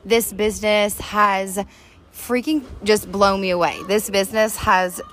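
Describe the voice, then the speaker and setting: Deep-voiced woman, earnest recovery podcaster. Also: fairly even intonation, measured pace, a bit bright. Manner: earnest, heartfelt, addresses listeners as "you guys". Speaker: podcast co-host. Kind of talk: faith-based recovery talk. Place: outdoors on an apartment back deck.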